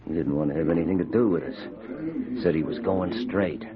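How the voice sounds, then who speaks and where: Man doing gruff deep outlaw leader, curt, old radio western, noisy narrow sound. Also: a little fast, close to an everyday voice. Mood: surly, uneasy. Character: surly, uneasy outlaw gang boss. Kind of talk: 1950s radio drama acting.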